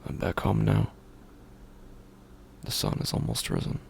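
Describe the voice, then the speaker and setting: A deep, heavily creaking voice of a bereaved, sleepless man, played by a male actor, narrating a horror audio story. He is subdued and brooding, speaking haltingly in a breathy near-whisper. A faint layer of noise sits behind the words. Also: sing-song, full character voice. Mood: hushed, haunted. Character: grieving, hollow, sleepless man wandering at night. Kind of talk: scripted first-person horror audio fiction narration.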